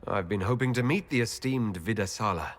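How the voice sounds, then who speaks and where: A man's voice: fairly low pitch, a little fast, lightly acted. An actor playing a self-assured male elf hero in a fantasy game, with a dry, probing delivery. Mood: curious, wry. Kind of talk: dramatic video game acting.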